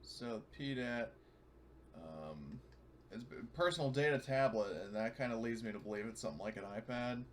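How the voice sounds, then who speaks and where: A man playing a tabletop game, chatting casually and explaining things in a halting way. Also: mid-range voice. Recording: clear background noise on mic.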